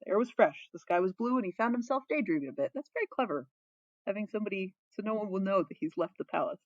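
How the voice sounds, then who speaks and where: A woman narrating a story in an expressive, playful, informal way, like an amateur reading fan fiction. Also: medium pitch, fast, no room sound, muffled recording. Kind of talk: storybook narration with casual asides.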